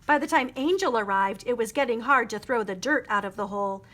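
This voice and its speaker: A woman reads a children's story aloud in a high voice. She narrates expressively, like a librarian at storytime. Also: expressive, a little fast, fairly bright tone. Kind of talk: expressive picture book storytime reading.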